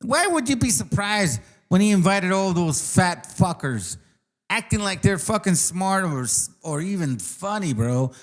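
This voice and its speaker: A man on a foul-mouthed tirade, higher-pitched than most men. His pitch leaps around wildly as he repeats insults and jabs. His delivery swings from shouted outbursts to low mutters. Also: very animated, a little slow. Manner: aggressive, profane, repetitive, swings loud and soft. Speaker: ranting commentator. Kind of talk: profane rant.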